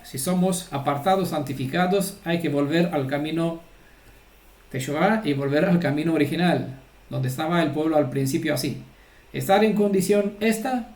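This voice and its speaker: A man's voice: on the high side, darkish tone, roomy sound. A man giving an informal, earnest religious teaching and often checking that his listeners follow. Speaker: Torah teacher.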